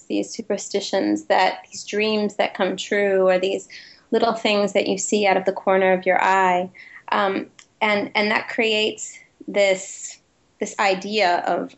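A woman's voice: mid-range voice, steady tone, unhurried, lo-fi sound. A woman author who grew up in the United States speaking Ukrainian first, giving a thoughtful interview with frequent restarts. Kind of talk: interview guest.

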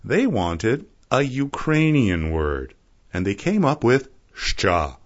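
A man's voice: medium pitch, sing-song. Man from Canada, wry scripted radio narration, dull lo-fi broadcast sound. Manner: wry, playful, slow and deliberate.

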